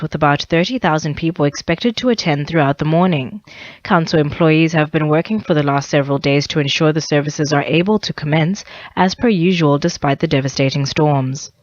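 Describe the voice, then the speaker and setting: A woman's voice: deep voice, dry recording, muffled recording. A woman reading a scripted radio news bulletin as the newsreader. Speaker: news reader. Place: radio studio.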